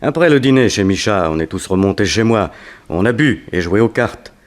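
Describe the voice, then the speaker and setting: An actor playing a smooth-talking, menacing blackmailer with an urbane, insistent manner, in a 1960s French radio drama. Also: male voice, on the low side, animated, normal speed, natural voice. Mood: pressing, threatening. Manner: urbane, insistent, coolly mocking.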